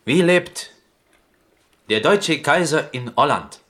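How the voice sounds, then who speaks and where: Lively, naive Greek cook. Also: male voice, fairly high pitch. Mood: curious, eager. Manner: halting broken German, earnestly questioning. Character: naive, eager Greek cook, devoted to the Kaiser. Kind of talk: German radio play acting.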